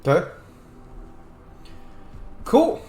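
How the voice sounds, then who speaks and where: A man's voice: drawn-out words, darkish tone. A man giving casual let's play commentary as he plays a video game. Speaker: gaming streamer, player. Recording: roomy echo, faint game noise.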